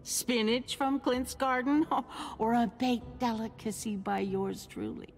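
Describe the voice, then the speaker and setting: An actress playing a courteous, persuasive female settlement leader in a video game, speaking with genteel, measured politeness. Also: fairly high pitch, vocal fry, a little mellow. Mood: gracious, teasing. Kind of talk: naturalistic dramatic game acting. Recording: music under the voice.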